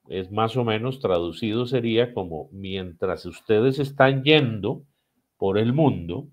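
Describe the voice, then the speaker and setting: A man with a Costa Rican accent and a lowish voice speaks as a guest in a podcast conversation. He explains like a teacher, building his points in short phrases and stressing them through repetition. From Costa Rica. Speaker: missions director, podcast guest.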